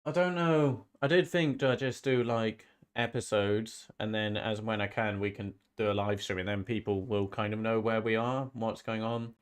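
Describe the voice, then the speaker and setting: A man's voice: lowish voice, fairly even intonation, normal speed, hardly any pauses, smooth. A man with a slight cold chatting casually as a live-stream host, rambling and often repeating his phrases. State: slight head cold, sniffly. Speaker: streamer hosting a football watch-along.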